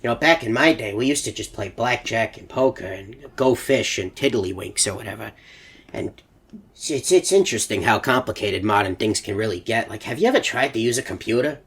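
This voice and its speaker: Guy doing rambly old robot grandpa type for a game, lowish husky voice, halting and easily sidetracked. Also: animated, fairly bright tone, heavily acted. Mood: amazed. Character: rambling, forgetful older male animatronic puzzled by modern technology. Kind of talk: video game character monologue.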